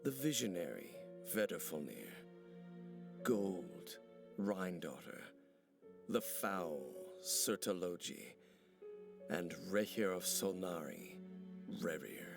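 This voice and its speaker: An actor playing a solemn, brooding ancient warrior in a video game, speaking gravely and formally, embittered by old betrayal. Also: male voice, deep voice, very animated, heavily acted. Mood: bitter, solemn. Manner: grave, formal, measured speech. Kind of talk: video game character dialogue. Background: background music.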